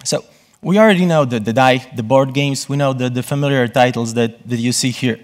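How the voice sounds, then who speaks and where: A man gives a conference presentation from a stage, often pausing and rephrasing himself. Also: medium pitch. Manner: halting, self-correcting delivery. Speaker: conference speaker.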